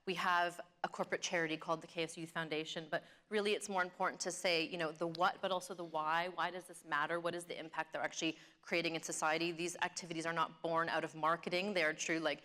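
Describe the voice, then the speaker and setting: Woman, lower-pitched, quick pace, bright forceful voice, earnest marketing panelist. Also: flat delivery. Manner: earnest, business jargon. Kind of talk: panel discussion, explaining. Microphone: event stage microphone.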